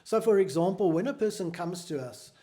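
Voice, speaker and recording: South African man, mellow tone. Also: high voice, animated. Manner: measured, explanatory. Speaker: charity founder. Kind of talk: interview answers.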